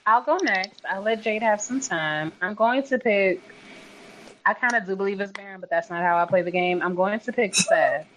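A woman from Arkansas hosting a casual podcast, loose and joking, with plenty of slang. Her voice sits at a middle pitch, and the sound is a bit dull and lo-fi. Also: moderate pace, fairly bright tone, slight background noise. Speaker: podcast host. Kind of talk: casual chat about wrestling.

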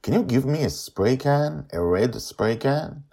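A man's voice: medium pitch, lively intonation, a little slow, vocal fry, dark tone, husky, pitched above the performer's own voice, heavily acted. A man voicing an eager, bold nine-year-old girl who captains a dream pirate ship in a storybook tale. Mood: hopeful request.

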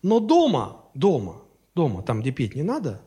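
A man's voice: mid-range voice, wildly swooping pitch, moderate pace, dark tone, husky. A man preaching a Sunday sermon in Russian, in a conversational style with fillers and anecdotes, and his voice sounds strained. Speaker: pastor. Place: church.

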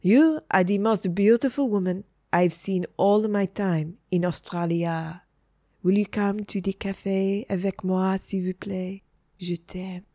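A woman's voice: mid-range voice, fairly even intonation, unhurried, warm tone, no echo, clean studio sound, close to an everyday voice. A woman voicing a melodramatic French male teacher in a story, lovestruck, pleading and theatrical. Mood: wooing.

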